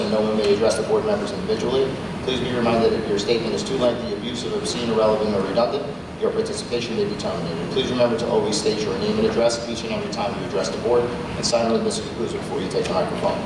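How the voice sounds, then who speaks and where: A man chairing a school board meeting. He reads the procedural rules in a formal way and answers questions from the public. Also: fairly low pitch. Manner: formal and procedural, with some restarts when answering. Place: large school auditorium. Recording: echoey auditorium sound from far off, noisy.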